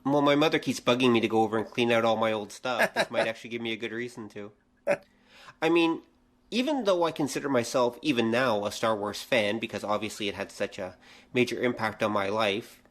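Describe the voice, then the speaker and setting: A middle-aged man talking casually as a podcast host in conversation. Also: mid-range voice.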